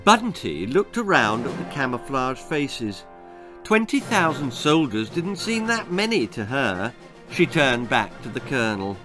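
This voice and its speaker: An older man tells a spooky, funny children's tale. He is deliberate and theatrical, taking his time to build suspense, with music clearly playing behind him. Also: medium pitch, sing-song. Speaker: author reading his own story. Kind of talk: dramatic children's horror-comedy storytelling. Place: book-lined room indoors.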